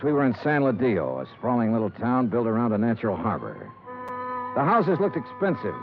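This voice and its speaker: An American actor playing a terse, skeptical, world-weary insurance investigator in a 1950s radio detective drama. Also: male voice, medium pitch. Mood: matter-of-fact narration. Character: hard-boiled, skeptical male insurance investigator. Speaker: lead radio actor and narrator. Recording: old radio recording, narrow band, hissy.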